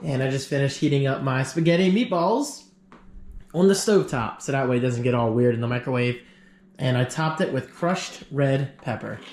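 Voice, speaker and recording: A man's voice: mid-range voice, moderate pace, darkish tone, a little hiss or hum. A man talking casually to the camera in a home vlog, chatty and upbeat with rambling asides, sounding slightly congested. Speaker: vlogger. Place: at home, indoors.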